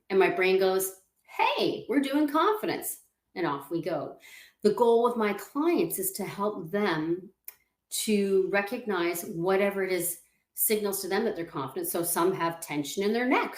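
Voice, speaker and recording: Casting: woman confidence coach, calm and explanatory, mid-pitched voice, roomy echoey call audio. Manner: calm, explanatory, walks the listener through exercises. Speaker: confidence coach, interview guest. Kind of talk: video call interview. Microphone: video call setup.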